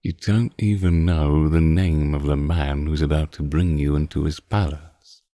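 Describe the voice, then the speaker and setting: An extremely low-voiced, ancient vampire count played by a man speaks in an unhurried, courtly way. His tone is dark and velvety, teasing and condescending as he toys with his prey. The roleplay audio is close and dry. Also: fairly even intonation, no room sound. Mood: flirtatious, teasing. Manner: formal, archaic, teasing and condescending. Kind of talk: slow intimate roleplay audio monologue.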